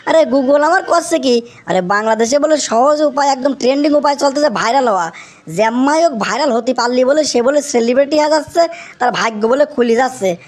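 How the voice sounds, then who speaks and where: A man with a Bangladeshi accent voicing an excitable young cartoon bachelor desperate for fame, whiny and pleading, repeating himself emphatically. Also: very high voice, fairly quick. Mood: excited scheming. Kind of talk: exaggerated comic cartoon acting.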